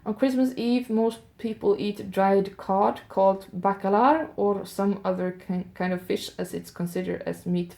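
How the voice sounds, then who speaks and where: A woman with a Swedish accent hosts a solo audio show in an easy, improvised way, thinking out loud and asking her listeners questions. Her voice is dark and mellow, with a gravelly fry running through it. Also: mid-range voice, steady tone, measured pace, few pauses. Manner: chatty, musing aloud with curious asides to listeners. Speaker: host of an audio advent show. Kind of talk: improvised solo radio-style talk.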